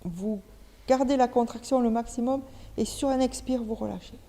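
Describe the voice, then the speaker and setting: A woman leading a guided relaxation as a yoga teacher, giving step-by-step instructions with pauses between them. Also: fairly high pitch, warm tone. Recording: long reverberant hall echo.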